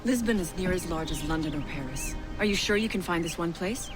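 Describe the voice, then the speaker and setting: A woman's voice: fairly low pitch, expressive, brisk, music in the background, natural voice. An actress playing a shrewd, commanding colonial-era woman with a poised, direct manner in a video game. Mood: cool, composed. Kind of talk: dramatic video game acting.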